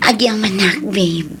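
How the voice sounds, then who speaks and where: An actress with a Filipino accent playing a loving, supportive career wife in an Ilocano radio drama. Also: female voice, medium pitch, noisy background.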